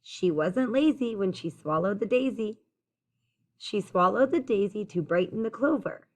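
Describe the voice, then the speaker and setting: A woman teacher reading a picture book aloud to young children in an expressive, sing-song, encouraging way. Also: mid-range voice, moderate pace. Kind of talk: children's story read-aloud, addressing young listeners.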